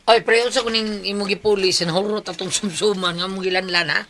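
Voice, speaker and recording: A man's voice: sits high, steady tone, a little fast, sharp tone, soft background music. A man playing a tipsy, teasing drinking buddy in a comedy skit, with a Cebuano Filipino accent and a loose, repetitive, exclamatory way of talking. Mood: bantering. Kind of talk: casual comedic skit banter.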